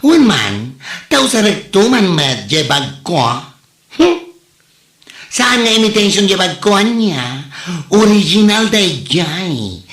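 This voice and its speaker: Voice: medium pitch, sing-song, takes it slowly, a bit bright, exaggerated voice. An actor plays a gossipy co-worker in an Ilocano comedy drama, mocking and full of exclamations. The delivery is drawn out and dramatic, with an Ilocano Filipino accent and echoey room sound. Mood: mocking, offended.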